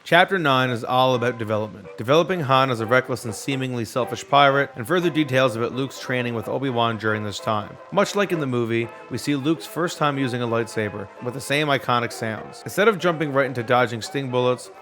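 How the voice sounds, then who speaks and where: A man's voice: mid-range voice, fairly quick, hardly any pauses. A man reading scripted narration as a video essayist. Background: background music.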